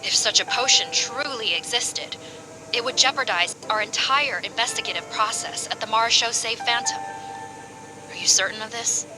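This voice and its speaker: An actress playing a courteous, skeptical official investigator with a formal, firm manner in a video game. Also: female voice, fairly high pitch, moderate pace, natural voice, poor audio. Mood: politely skeptical. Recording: game capture with background music.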